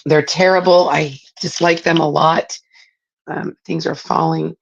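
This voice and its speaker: A middle-aged woman, raspy from a spring cold, chatting casually as she hosts a live craft demo and greets viewers by name. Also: low voice, fairly even intonation, a little slow, highs cut off. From Southern California. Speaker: craft livestream host. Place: home craft room.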